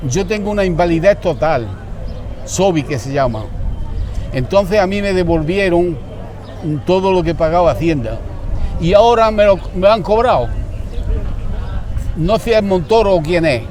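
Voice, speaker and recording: An older retired man from Spain who once emigrated, telling his story with animated indignation in a street interview. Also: high-pitched, moderate pace, pauses often.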